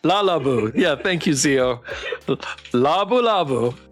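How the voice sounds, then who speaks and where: Animated, higher-voiced man streaming a game. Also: slow pace, faint music behind. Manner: playful, expressive reactions. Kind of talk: casual live playthrough, reading game text aloud and chatting.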